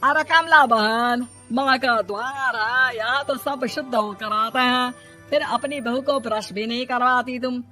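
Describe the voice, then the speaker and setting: A woman's voice: on the high side, measured pace, bright, forceful tone, faint music behind. A woman voicing a gossipy, disapproving neighbour woman in a cartoon, speaking reproachfully. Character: gossipy, disapproving female neighbour at prayer gathering.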